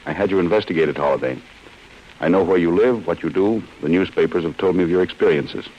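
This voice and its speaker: An actor with an American accent playing a cold, relentless chief insurance investigator in an old-time radio drama, speaking in a stern, deliberate way. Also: male voice, deep voice, close to an everyday voice. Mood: cold, commanding. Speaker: actor in a radio detective serial. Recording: muffled, hissy old radio broadcast.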